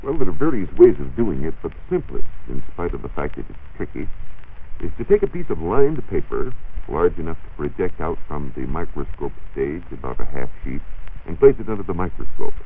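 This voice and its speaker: Deep-voiced 1940s radio science explainer, American man. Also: big pitch swings, a little hiss or hum. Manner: measured, explanatory, precise. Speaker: science reporter answering listener questions. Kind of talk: 1940s radio science talk, partly scripted. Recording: muffled, narrow-band 1940s radio recording.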